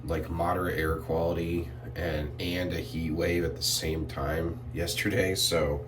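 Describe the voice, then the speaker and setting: A man reviewing shave soap in a relaxed, rambling way, a bit sniffly and clearing his throat often. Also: sits low, unhurried, far from the mic, music in the background. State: sniffly from irritated sinuses. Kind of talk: casual product review vlog.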